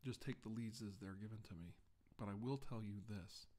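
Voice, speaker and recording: A middle-aged man playing a solemn doctor giving testimony about his alien abduction in an ASMR roleplay, halting and deliberate with long pauses. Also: lowish voice, warm tone, natural voice. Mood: grave, measured. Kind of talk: ASMR roleplay, slow deposition monologue. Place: indoor room, seated at a table. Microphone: microphone on the table close in front.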